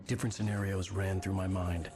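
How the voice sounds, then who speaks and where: An actor playing a tormented, terse writer in a video game, with a brooding noir style. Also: male voice, low-pitched, level tone, brisk, close to an everyday voice. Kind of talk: scripted game dialogue and noir narration. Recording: loud music behind the voice.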